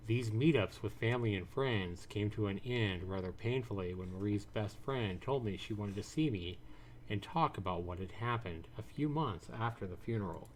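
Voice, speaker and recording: A man's voice: fairly low pitch, normal speed, a little hiss or hum. A man, a game streamer, reading the narration of a visual novel aloud. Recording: music behind the voice. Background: guitar music.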